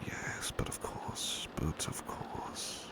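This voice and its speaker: A man playing a devoted, gentle British butler in an ASMR roleplay, speaking softly and breathily, often in a whisper, with tender deference. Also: slow pace, lightly acted. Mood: tender, reassuring. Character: devoted, gentle male butler soothing a sleepy master. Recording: clear background ambience noise, rain. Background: rain ambience.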